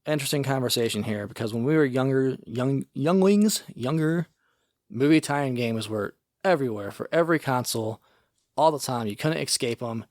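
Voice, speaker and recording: A man's voice: mid-range voice, expressive, normal speed, a little mellow, no room sound, studio quality. A man co-hosting a podcast, chatting casually and rambling with many filler words. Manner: rambling, filler-heavy, often says "like". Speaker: podcast co-host. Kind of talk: casual chat.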